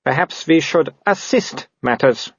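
A man reading aloud and voicing an arrogant, athletic young werewolf nobleman who speaks in a cold, calm, condescending way. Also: a little high, a little slow, creaky, slightly rough, no room sound, lo-fi sound, pitched above the performer's own voice, heavily acted.

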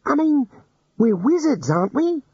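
A man's voice: very high voice, sing-song, normal speed, mellow, no room sound, pitched above the performer's own voice. A man reading aloud and voicing an earnest, exasperated young male wizard who stammers and pleads. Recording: dull lo-fi audiobook recording.

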